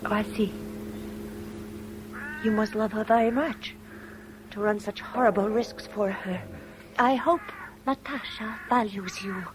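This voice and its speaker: An actress playing a devoted, earnest confidante in a 1970s radio drama, speaking in a proper, solicitous way. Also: female voice, mid-range voice, fairly even intonation, a little slow, pauses often, natural voice. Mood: hurt, insistent. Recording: noisy 1970s radio broadcast recording.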